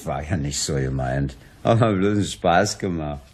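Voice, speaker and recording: An actor playing a cocky, cowardly petty crook and addict in a crime radio play, talking in brash, sneering slang. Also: male voice, rumbling low, expressive, normal speed, darkish tone, slight background noise. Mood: sheepish.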